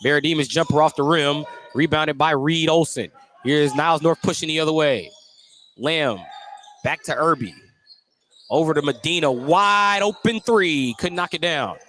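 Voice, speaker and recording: High-voiced American man calling basketball play-by-play. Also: moderate pace, fairly bright tone, slight background noise. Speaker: play-by-play sports announcer. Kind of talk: live radio basketball play-by-play commentary.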